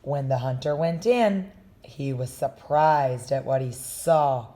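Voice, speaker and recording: A woman reading a children's story aloud with slow, expressive storytelling. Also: a bass voice. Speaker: storybook reader.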